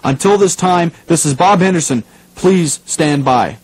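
A man's voice: a little high, lively intonation, a little slow, rough recording. A man acting as an apologetic local radio newscaster during a crisis, in a radio drama news bulletin. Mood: concerned, composed. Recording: radio broadcast with faint hum.

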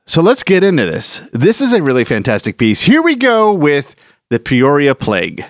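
A man's voice: fairly high pitch, wildly swooping pitch, moderate pace, no echo, studio quality. A man hosting a podcast, giving an enthusiastic, conversational scripted introduction. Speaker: podcast host.

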